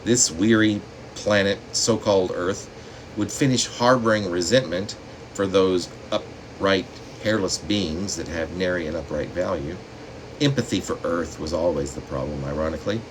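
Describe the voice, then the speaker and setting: Male poet, very deep register, reads in measured dramatic phrases, faint room noise behind him. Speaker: poet reading own work. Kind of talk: poetry reading with spoken asides. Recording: steady room noise, air conditioning hum.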